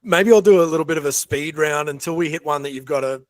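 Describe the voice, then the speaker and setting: Male podcast host, slightly high voice, dry studio-close sound, casual and loosely phrased questioning. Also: fast, no room sound.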